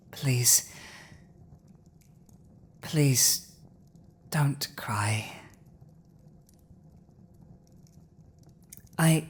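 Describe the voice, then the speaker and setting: Low, husky woman as a villainous dragon. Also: heavily acted. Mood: soft, tender. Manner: slow, deliberate, imperious, with long pauses. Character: proud, domineering female villainous dragon, awkwardly tender toward humans. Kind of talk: dramatic ASMR roleplay monologue.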